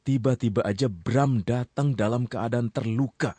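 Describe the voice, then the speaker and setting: A man's voice: medium pitch, normal speed, warm tone, slightly rough, no echo. A man narrating a radio story as host, with chatty asides to a sidekick.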